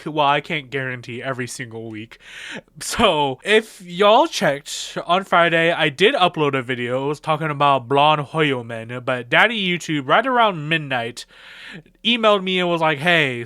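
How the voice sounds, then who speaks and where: A man's voice: a little high, pushed and bright, dry recording, studio quality. A man hosting his own channel, rambling through casual, slangy fan commentary and acting out bits of imagined dialogue. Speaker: video creator and channel host.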